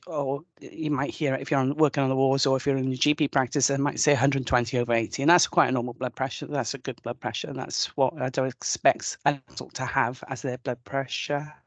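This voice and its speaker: A man's voice: mid-range voice, no echo. A man in his forties with a Welsh accent, a nurse presenting a health webinar over a video call, hesitant with restarts and fillers.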